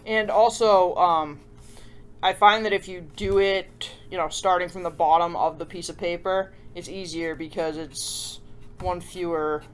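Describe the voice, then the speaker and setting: A woman's voice: lowish voice, fairly even intonation, a little slow. A woman hosting a children's craft tutorial in a casual, chatty way, with playful self-deprecating asides and frequent check-in questions to viewers. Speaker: host of a children's craft show.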